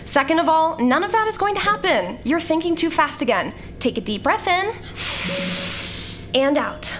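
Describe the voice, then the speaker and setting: A woman playing a snarky, confident space scientist in an audio drama, brisk and easily exasperated. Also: extremely high pitch, sing-song, background noise. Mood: exasperated, bossy. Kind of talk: scripted audio drama acting.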